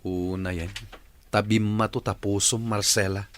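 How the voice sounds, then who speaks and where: A kindhearted husband in a radio drama, played by a man, with a fairly low voice. He reasons patiently and coaxes, always urging peace in the family. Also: little pitch movement, unhurried, a bit bright. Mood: gently reproachful. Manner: coaxing, reasoning.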